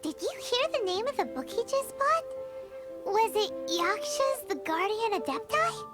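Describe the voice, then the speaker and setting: A woman voicing a small, childlike, excitable fairy companion in a video game, bubbly and squeaky. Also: measured pace, over loud music, exaggerated voice. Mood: curious. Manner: squeaky, bubbly, refers to self by name. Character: small childlike fairy companion, excitable, food-loving, speaks in third person.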